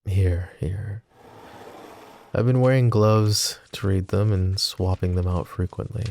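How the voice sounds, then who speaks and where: A man playing a flirty, possessive mafia boss who is smitten and vulnerable, speaking in soft, teasing, intimate ASMR roleplay. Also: low-pitched, steady tone, slow, a little hiss or hum, full character voice. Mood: hushed, earnest. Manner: soft teasing banter with breathy chuckles.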